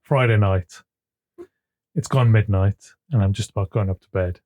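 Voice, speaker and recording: A man co-hosting a podcast in casual chat, with a smooth voice. He chuckles often and repeats words as he gets going, speaking into a desk microphone at his home computer. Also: on the low side, moderate pace, a little mellow. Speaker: podcast co-host.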